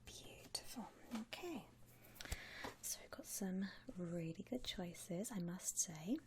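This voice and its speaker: A woman whispering softly and breathily in an attentive, gentle customer-service ASMR roleplay, close to the microphone. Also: lowish voice, animated, takes it slowly. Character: polite, attentive female vampire coffin-shop consultant. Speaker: ASMR roleplay performer, playing a shop consultant.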